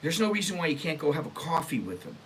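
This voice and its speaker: Animated, higher-pitched American man ranting. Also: fast, a little hiss or hum. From United States. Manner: blunt, irritated, sweary; emphatic, exasperated. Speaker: online storytime show host. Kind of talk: casual rant, reading out and commenting on emails.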